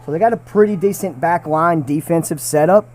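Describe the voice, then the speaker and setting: A man's voice: fairly high pitch, fairly quick, a little mellow, a little hiss or hum. A man giving casual live commentary on an airsoft match, repeating himself and restarting phrases as he talks. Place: small side room near the field. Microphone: shared desk mic.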